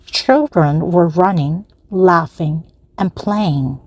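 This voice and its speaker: Woman narrator, very slow and deliberate, clear storybook reading, dry sound. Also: on the low side, no echo. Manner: slow, careful, evenly paced reading. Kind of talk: slow read-aloud for language learners.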